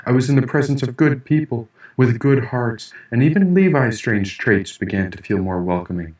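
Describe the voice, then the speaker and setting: A man reading first-person fiction as an audiobook narrator, in an expressive, dramatic storytelling manner. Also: mid-range voice, normal speed. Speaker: author reading own audiobook. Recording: echoey room, distant mic.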